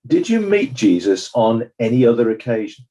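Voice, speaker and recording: A man's voice: medium pitch, very animated, dark tone. A man playing a stern, sceptical coroner who questions witnesses formally in a dramatized inquest. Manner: formal, measured, probing. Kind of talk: scripted courtroom drama.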